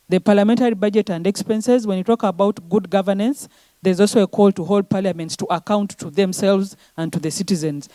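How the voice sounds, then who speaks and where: Woman presenter, earnest and steady, warm mellow tone, dry close sound. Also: medium pitch, no echo. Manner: earnest, steady delivery with frequent restarts. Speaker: conference presenter. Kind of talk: conference presentation.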